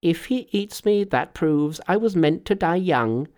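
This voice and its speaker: A man's voice: a little high, expressive, measured pace, darkish tone, voice pushed up. A man voicing a cheeky, fearless male monkey hero from a storybook, brash, bantering and self-assured. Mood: bold. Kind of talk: storybook character voice.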